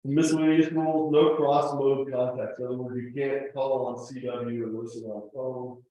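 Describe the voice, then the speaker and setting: A man with a mellow, soft-edged voice gives an informal talk, explaining rules point by point with conversational asides. He is recorded from a distance in a very reverberant meeting room, so the room rings heavily around his words. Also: mid-range voice, little pitch movement, moderate pace. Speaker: club presenter explaining contest rules. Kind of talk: informal slideshow lecture. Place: echoey indoor meeting room. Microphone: distant room microphone. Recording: very echoey room, distant mic.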